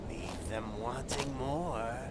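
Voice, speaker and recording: A man's voice: mid-range voice, animated, slow, very noisy. An actor playing a cocky, foul-mouthed, theatrical gang showman in a video game, taunting with swagger over music. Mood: smug taunting. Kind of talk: video game cutscene acting.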